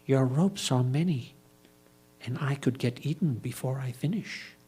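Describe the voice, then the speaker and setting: Storybook mouse, played by a man: tiny, soft, unhurried, careful and quietly self-possessed. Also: mid-range voice, slightly rough. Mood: cautious, bargaining. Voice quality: small, soft voice.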